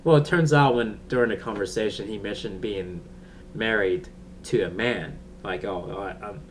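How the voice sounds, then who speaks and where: Deep-voiced man rambling, distant mic. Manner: halting, rambling, searching for words. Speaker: TV episode reviewer. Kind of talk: casual unscripted review chat. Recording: distant mic with faint background noise.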